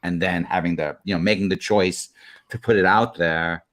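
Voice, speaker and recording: A man co-hosting a casual interview, speaking in a thoughtful, measured way. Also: low voice, fairly even intonation, a bit bright. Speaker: co-host.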